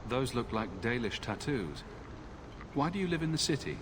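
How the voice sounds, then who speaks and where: An actor voicing a direct, helpful adventurer hero in a fantasy video game. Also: male voice, mid-range voice, sing-song, normal speed, crowd noise, natural voice, low-quality recording.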